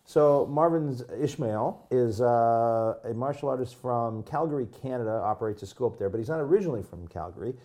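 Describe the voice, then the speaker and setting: A man's voice: medium pitch, fairly quick, hardly any pauses, warm tone. An American man from the Midwest speaking as the host of an interview podcast. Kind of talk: casual interview chat, with a read-out intro.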